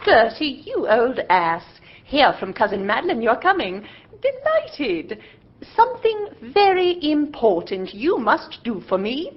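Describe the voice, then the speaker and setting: A bubbly young woman, played by a woman, teases in a high, breathy voice. Her pitch bounces all over. The recording is dull. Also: a little slow, exaggerated voice. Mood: cheeky. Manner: lively, teasing.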